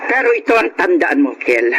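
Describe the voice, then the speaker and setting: An actress playing an indignant, stern older sister in a radio drama, reproachful and emphatic. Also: female voice, on the low side, big pitch swings, a little fast, fairly bright tone, a little hiss or hum. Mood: stern, scolding. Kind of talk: Filipino radio drama acting.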